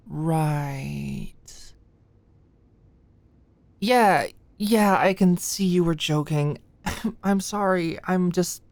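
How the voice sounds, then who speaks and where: A woman's voice: fairly low pitch, a little mellow, dry recording. A young woman acting an anxious, flustered medical student and study buddy in an ASMR roleplay, rambling and stammering apologetically. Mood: embarrassed, apologetic.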